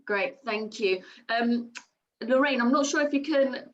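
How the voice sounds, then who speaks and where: A woman's voice: on the high side, a little slow, lo-fi sound. A woman hosting a school information session on a video call, polite and upbeat, with frequent ums and thank-yous. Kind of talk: friendly moderating on a video call.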